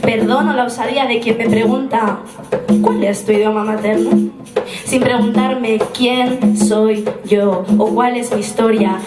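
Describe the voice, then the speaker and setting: A young woman in her twenties from Spain, of Moroccan family, performing spoken word poetry in a slow, rhythmic, deliberate way on a live stage. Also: a little high. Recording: roomy live room, distant mic, loud music. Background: loud instrumental music.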